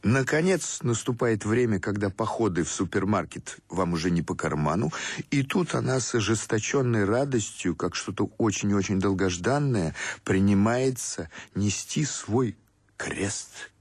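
A man reading scripted literary prose as the narrator of a radio play, in a measured way. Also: on the low side, animated, husky, no echo, clean studio sound.

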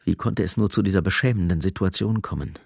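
A man with a gravelly voice narrating a scripted German radio drama. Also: low voice, quick pace, no echo, studio quality. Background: music.

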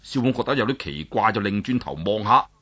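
A male storyteller narrating a traditional tale with lively, swinging pitch. His voice is husky and a bit rough, and he leans hard into the drama. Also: a little fast.

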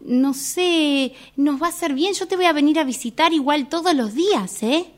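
A woman playing a timid, apologetic daughter in her late thirties, with an Argentine accent and halting speech, in a radio theatre exercise. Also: high-pitched, natural voice. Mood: soothing, apologetic. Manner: very halting, tentative. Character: timid, apologetic thirty-eight-year-old daughter still at home.

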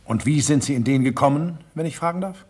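Casting: a disdainful old-world collector, very husky, pompous radio-play delivery. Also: male voice, fairly high pitch, fairly quick, a little mellow. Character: haughty misanthropic male antique collector. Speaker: actor in a radio drama. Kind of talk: German radio play acting.

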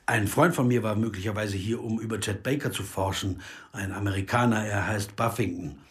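A man's voice: lowish voice, fairly even intonation, fairly quick, slightly rough, no echo. A man acting a worried, reflective jazz pianist turned amateur sleuth in a German crime radio drama. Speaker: actor playing the narrator and lead.

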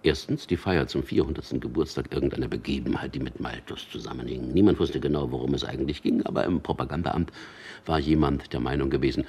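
A wry, cynical lover in a radio play. His voice is extremely low with a gravelly creak, and he speaks in an intimate, drily ironic way. Noise sits behind the recording. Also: quick pace, a little mellow. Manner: dry irony, intimate and conversational. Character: Peter, wry cynical man telling mock news to lover. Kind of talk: German radio drama acting.

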